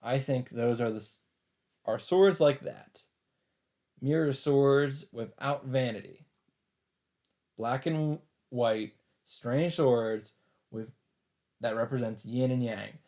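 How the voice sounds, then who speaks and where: A man's voice: mid-range voice, takes it slowly, pauses often, a little mellow. A man doing a let's play, reading visual novel narration aloud with casual, sometimes sweary commentary. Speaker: let's player.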